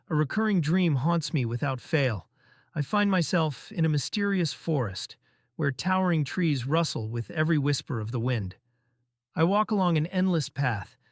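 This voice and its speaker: A man giving a scripted read-aloud in a measured, carefully enunciated way. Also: on the high side, lively intonation, dry recording, studio quality.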